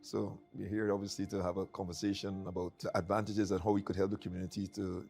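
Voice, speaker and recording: Deep-voiced man with soft music behind. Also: little pitch movement, quick pace, vocal fry. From Guyana. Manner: repeats words often, many fillers. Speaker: tea company founder hosting the conversation. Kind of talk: casual conversational interview. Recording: light music in the background.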